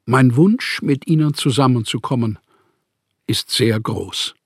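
Radio drama: a troubled novelist, husky and measured, formal letter delivery, very dry recording. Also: male voice, mid-range voice, no echo. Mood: earnest, candid. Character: troubled, weary male novelist writing to a revered friend. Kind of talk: radio play, letter read aloud.

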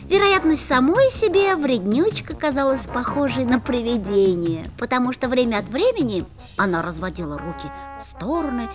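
A woman's voice: fairly high pitch, expressive, normal speed, music in the background, close to an everyday voice. A woman playing a young boy who narrates his own adventure in a storybook audio play. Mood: amused.

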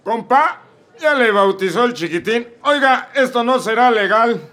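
A man's voice: very high voice, animated, unhurried, bright, forceful tone, full character voice. A man with a Mexican accent voicing a drunk, argumentative preacher on trial in a comedy radio drama. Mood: drunken. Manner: slurred, crude and argumentative.

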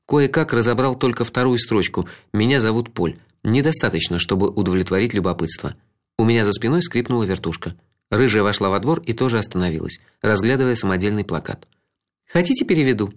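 A man's voice: on the low side, fast talker. A man reading a first-person story aloud, reflective and ironic. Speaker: audiobook narrator. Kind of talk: first-person literary narration.

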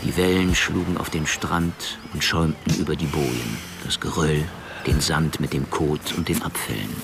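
An actor narrating a hard-boiled radio crime drama in a very deep, creaky voice, measured and dry. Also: male voice, steady tone. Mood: dry, bleak. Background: music.

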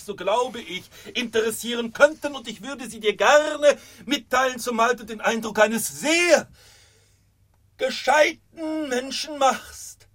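A high-voiced, gentle, earnest prisoner. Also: male voice. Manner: earnest, pleading, deliberate. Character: gentle, guileless, earnest male prisoner-philosopher. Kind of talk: radio drama acting.

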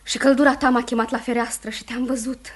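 A woman playing a high, husky, fierce village girl. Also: little pitch movement, natural voice. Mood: passionate, pleading. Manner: intense, passionate, swinging from whispers to shouts. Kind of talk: Romanian radio theatre acting.

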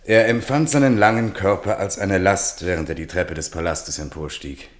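A male actor as a storyteller in a radio play, with an extremely deep voice. He narrates literary prose in a measured manner. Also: natural voice.

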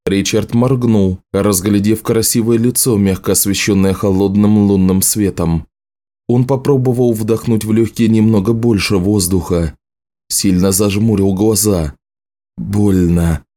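Guy reading an audiobook, deepish mellow voice, serious measured storytelling. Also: steady tone. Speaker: audiobook narrator. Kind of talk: scripted literary narration.

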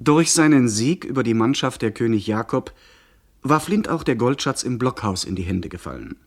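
An actor in a 1970s German radio play, narrating a pirate adventure as someone who lived through it, in scripted, measured style. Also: male voice, medium pitch, lively intonation. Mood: measured, grave. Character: pirate-tale narrator recalling his own adventures, measured.

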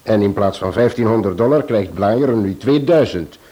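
A senior police official, played by a man in an old radio play. He speaks firmly and in a businesslike way, with a mellow, warm voice. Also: fairly low pitch, animated, normal speed, natural voice. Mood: reasoning. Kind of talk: vintage radio play acting.

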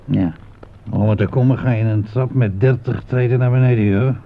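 An actor playing an easygoing soldier in a radio play, chummy and matter-of-fact. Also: male voice, medium pitch, fairly even intonation, a little fast, creaky, lightly acted. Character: easygoing male soldier playing cards in a dugout. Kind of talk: radio drama dialogue. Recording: tinny, hissy old radio recording.

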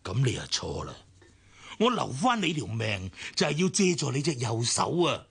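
A male actor playing a cynical, gloating, ruthless villain in a radio drama, with a husky, gravelly voice and a Hong Kong Cantonese accent. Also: medium pitch, sing-song, normal speed, a bit bright, full character voice. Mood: menacing, taunting. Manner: sneering, taunting.

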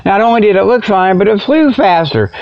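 A folksy American man rambles through a comic solo radio monologue. His voice sits a little high for a man and has a gravelly crackle. He doubles back on his words as he jokes, and the audio is slightly dull and lo-fi. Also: animated, brisk. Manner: folksy, jokey, stammering repetitions, chuckles at own jokes. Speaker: internet radio show host.

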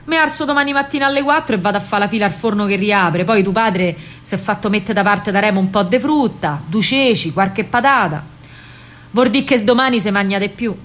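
A woman's voice: medium pitch, brisk, fairly bright tone, natural voice. A woman voicing a weary, resigned working-class Roman mother, plain-spoken and soothing, in a radio play.

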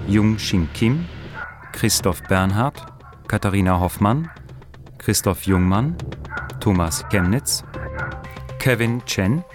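A man reading scripted credits as an announcer, in a measured style. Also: fairly low pitch.

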